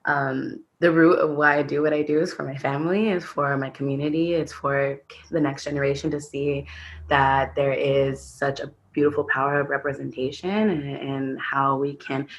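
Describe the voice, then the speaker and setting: An earnest woman from Los Angeles speaks on a panel, her pitch staying fairly flat while she leans on "like" between phrases. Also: normal speed, lo-fi sound, a little hiss or hum. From Los Angeles, USA. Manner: earnest, frequent "like". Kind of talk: panel answer.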